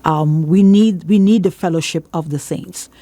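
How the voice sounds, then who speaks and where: A woman's voice: lowish voice, moderate pace, mellow, dry recording. A woman with a Trinidadian accent speaking as a church leader in an interview, emphatic and repeating phrases for emphasis like a preacher giving testimony.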